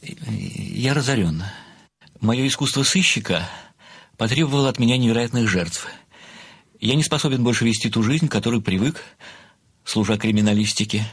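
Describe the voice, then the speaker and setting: An actor playing an obsequious, methodical amateur sleuth who speaks formally and deliberately, with flowery deference, in an audio play. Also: male voice, medium pitch, animated, breathy edge, dry recording, clean studio sound. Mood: humbly pleading. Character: obsequious, methodical amateur male sleuth, adoring a famous writer.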